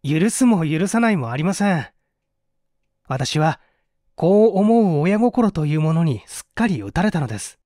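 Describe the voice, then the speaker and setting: A male reader plays a dutiful young man in an old mystery story. His voice is fairly high for a man and swings widely with feeling. He speaks in formal, polite phrases with earnest emphasis, and the sound is dry and close. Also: big pitch swings, unhurried, mellow, dry recording. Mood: earnest, humble.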